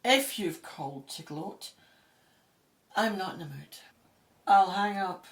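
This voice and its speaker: A woman acting a brisk, sceptical Egyptologist with a wry, matter-of-fact manner in an audio drama. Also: mid-range voice, expressive. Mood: curt, guarded.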